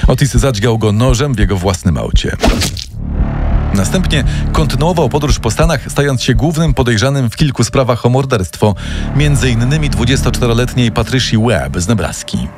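A man's voice: mid-range voice, expressive, moderate pace, over music. A man narrating a scripted true-crime story in a dramatic storytelling style.

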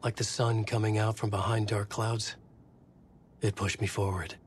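A man's voice: lowish voice, level tone, moderate pace, slight background noise, close to an everyday voice. An actor playing a haunted, desperate horror novelist, a man narrating his ordeal in terse, brooding monologue for a video game. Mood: brooding, urgent. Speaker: protagonist narrator. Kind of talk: scripted inner monologue narration. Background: music.

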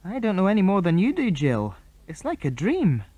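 A man's voice: high voice, huge pitch swings, normal speed, mellow, no room sound, voice pushed up, full character voice. Man reading as a firm, sensible British boy. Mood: matter-of-fact. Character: sensible, cautious older boy leading stranded children. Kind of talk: children's storybook reading.

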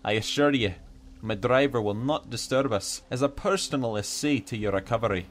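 A man's voice: mid-range voice, normal speed, slight background noise, natural voice. A man voicing a charming, bearded, dwarfish knight with a suave, courtly, flirtatious manner. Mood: suave, gallant.

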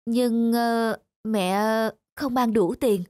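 A woman playing a practical, hard-working young mother in a cartoon, gently firm as she explains things. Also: fairly high pitch, fairly even intonation, takes it slowly, soft background music. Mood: hesitant, caring. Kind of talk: cartoon voice acting.

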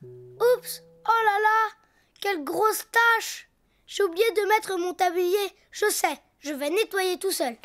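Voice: very high voice, takes it slowly, fairly bright tone, full character voice. A performer voicing a cheerful, imaginative little boy donkey in a children's cartoon, with playful exclamations and simple singsong phrases. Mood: dismayed. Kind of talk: children's cartoon dialogue, talking to himself and viewers. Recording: music behind the voice.

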